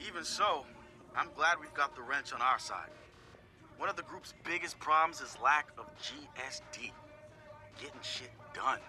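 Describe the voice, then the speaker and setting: An actor playing a laid-back young male hacker who sizes up his teammates in a game audio log, talking casually with profane, wry asides. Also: on the high side. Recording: clear music behind the voice.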